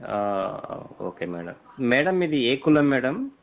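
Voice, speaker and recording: A man's voice: mid-range voice, sing-song, slow pace, creaky. Deferential male reporter on a tinny phone line. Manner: deferential, repeatedly saying madam. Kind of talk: phone interview. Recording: noisy, tinny phone call recording.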